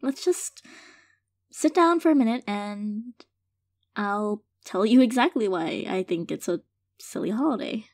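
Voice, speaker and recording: A woman's voice: mid-range voice, lively intonation, dry recording, studio quality. A woman playing an affectionate, hesitant girlfriend in an intimate audio roleplay, speaking sincerely and tenderly to her partner. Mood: tender, coaxing. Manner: soft-hearted, hesitant, halting, gently sincere. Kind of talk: intimate audio roleplay, casual monologue to listener.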